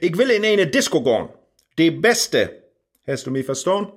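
An impatient old scholar in a radio play, grumbling in a husky, high voice that rises and falls widely. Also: male voice, big pitch swings, normal speed. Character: weary old male scholar craving youth and fun. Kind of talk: rhymed radio play acting.

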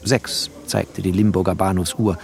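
An actor playing a bookish, wry narrator, speaking in clipped poetic phrases in a literary radio play. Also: male voice, low voice, level tone, moderate pace, creaky, darkish tone. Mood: lyrical, intimate. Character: bookish, wry, lyrical male narrator, a resettler.

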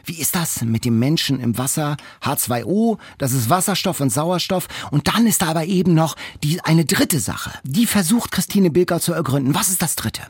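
A man's voice: fairly high pitch, expressive, moderate pace, hardly any pauses, breathy edge, dry recording, studio quality. A man, co-hosting a conversational book podcast in an enthusiastic, reflective manner. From Germany. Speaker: podcast co-host discussing books.

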